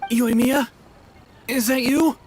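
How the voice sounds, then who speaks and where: An actor playing a timid, apologetic young fugitive in a video game, hesitant and polite. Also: male voice, very high voice, steady tone, takes it slowly, background noise. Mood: nervous, sorry.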